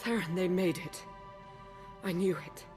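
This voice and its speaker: An earnest warrior mystic from a video game, voiced by a woman. Her female voice sits a little low and is composed and measured, with a clear musical score behind it. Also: darkish tone, soft background music, natural voice.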